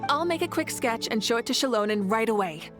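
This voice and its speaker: A woman voicing a confident, composed leader in a video game, speaking in a measured, articulate and thoughtful way over music. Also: a little high, lively intonation, lightly acted. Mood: eager, thoughtful. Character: confident, composed warrior leader, a self-described workaholic idealist. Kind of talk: video game character dialogue.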